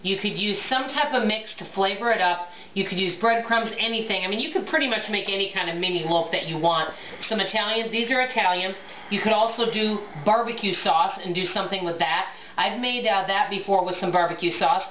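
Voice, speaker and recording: A woman's voice: medium pitch, a little fast, slight background noise. A middle-aged woman chatting casually to her viewers while she cooks, thinking aloud and calling them guys and y'all. She is recorded in a home kitchen on a camera mic across the counter. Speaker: cooking vlogger. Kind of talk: casual cook-along chat to camera. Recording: echoey kitchen, slightly dull home camera audio.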